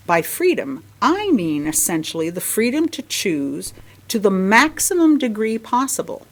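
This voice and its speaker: A woman reading a written sermon aloud in formal, measured phrases, with deliberate emphasis and pauses. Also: medium pitch. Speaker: lay reader giving a sermon. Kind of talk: sermon read from a written text.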